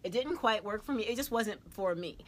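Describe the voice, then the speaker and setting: A woman hosting a casual interview, chatty and quick to laugh, with lots of filler words. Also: mid-range voice, expressive, slight background noise. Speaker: podcast host.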